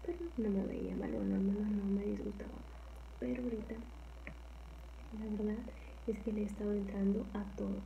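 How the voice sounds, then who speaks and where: A young Mexican woman with a Mexican accent chatting casually for her ASMR channel, rambling softly and often slipping into a whisper in a small home kitchen. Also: medium pitch, fairly even intonation, a little fast, gravelly, long pauses, lo-fi sound, a little hiss or hum. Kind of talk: casual soft-spoken chat.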